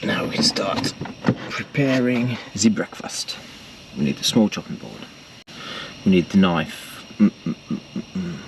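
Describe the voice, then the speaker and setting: A low-voiced English man in his forties talks his viewers through breakfast in the cramped cabin of a camper van, full of chatty, self-mocking asides. He sits back from the camera mic. Loud background noise runs under his voice. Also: sing-song, measured pace, far from the mic. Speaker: vanlife vlogger. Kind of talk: casual cooking vlog narration. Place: inside a small camper van. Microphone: camera mic across a small table.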